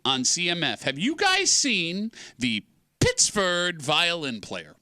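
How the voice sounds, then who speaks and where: A man's voice: on the high side, wildly swooping pitch, slow, background noise. A middle-aged man hosting a morning radio show, joking and teasing his co-hosts. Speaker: radio host.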